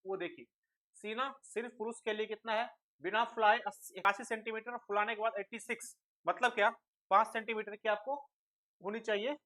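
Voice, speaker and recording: A man's voice: high voice, pushed and bright, low-quality recording. A man explains like a coaching teacher, asking questions and answering them himself, mixing in English terms. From India. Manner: asks rhetorical questions and answers them, checks understanding. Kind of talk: instructional explanation to students.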